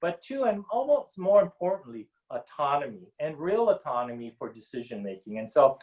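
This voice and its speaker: A middle-aged man from Hawaii speaking earnestly as a panel guest on a video call, with many pauses and ums. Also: a little high, very animated, darkish tone, lo-fi sound. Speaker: panel guest, Native policy advisor. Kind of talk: webinar panel talk.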